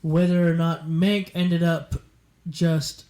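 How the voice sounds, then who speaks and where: Male co-host, slightly high voice, joking, emphatic banter. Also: little pitch movement, slow pace, dark tone. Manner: joking, emphatic, repeats words to hold the floor. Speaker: podcast co-host. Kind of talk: casual banter.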